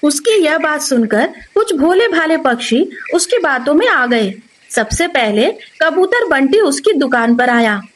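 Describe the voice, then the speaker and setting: A woman's voice: sits high, very animated, unhurried, slight background noise. A woman narrating a scripted moral story for children as the storyteller.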